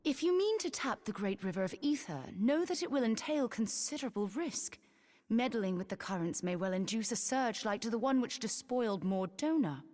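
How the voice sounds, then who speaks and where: A woman's voice: a little high, expressive, moderate pace, darkish tone, close to an everyday voice. An actress playing a learned, cautious woman sage in a fantasy game, speaking in a formal, solemn, archaic way. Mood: grave. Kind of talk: scripted fantasy game dialogue. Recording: reverberant hall sound.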